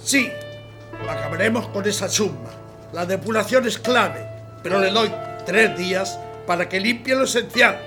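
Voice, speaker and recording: A man's voice: sits high, moderate pace, lightly acted. An actor playing a haughty, commanding male general in a radio drama, with music behind. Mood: arrogant.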